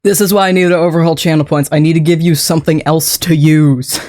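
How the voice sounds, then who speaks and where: Slightly sick, chatty streamer with a deepened voice. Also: steady tone, fast talker, a little mellow. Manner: chatty and rambling, laughs often, greets and thanks chat. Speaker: game streamer. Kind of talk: casual chat with a live stream audience.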